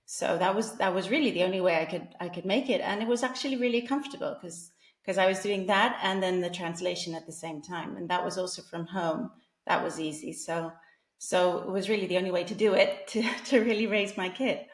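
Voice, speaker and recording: A woman's voice: lowish voice, fairly even intonation, a little fast, echoey room. A woman in her forties from England, talking casually as an interview guest, with many fillers and restarts. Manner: chatty, frequent fillers and restarts, laughs often.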